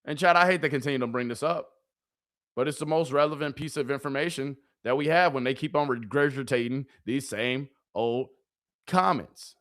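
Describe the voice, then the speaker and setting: A man hosting a live watch-party stream, hoarse from yelling, talking animatedly to his chat and repeating catchphrases. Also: medium pitch, normal speed.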